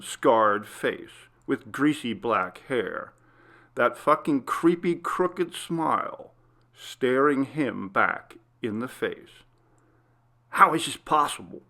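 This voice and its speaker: Gravelly-edged, forceful male horror story narrator. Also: mid-range voice, animated, takes it slowly.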